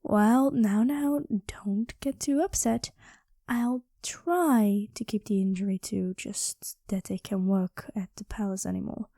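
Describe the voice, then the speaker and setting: A woman's voice: mid-range voice, slow pace, creaky, warm tone, no echo, studio quality. A woman improvising an ASMR roleplay as a playful, possessive dragon queen, coy and intimate, often dropping into whispers. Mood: tender, possessive.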